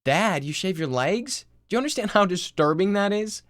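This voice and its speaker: Screenplay role: sarcastic teen son, exasperated, animated delivery , voiced by a man. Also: high voice, normal speed, no echo, studio quality, pitched above the performer's own voice. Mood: incredulous. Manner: sardonic, incredulous. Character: exasperated, sarcastic eighteen-year-old son.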